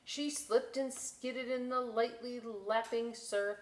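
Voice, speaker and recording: A woman reading a picture book aloud as a storytime host, enthusiastic and expressive, with dramatic emphasis and chatty asides. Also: fairly high pitch, slow. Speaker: library storytime reader. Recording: roomy echo, clear background noise.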